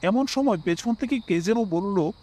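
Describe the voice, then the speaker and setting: A young man tells a horror story as its main character. His voice sits high for a man and moves with lots of melody. He reads as a scripted, expressive storyteller, slipping into polite dialogue. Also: a little slow. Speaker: first-person narrator and main character.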